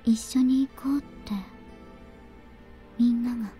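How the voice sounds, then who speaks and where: An actress voicing a dazed, distant young woman in a visual novel, speaking softly and hollowly. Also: a little high, little pitch movement, normal speed, close to an everyday voice. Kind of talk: Japanese game voice acting. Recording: game audio with music behind.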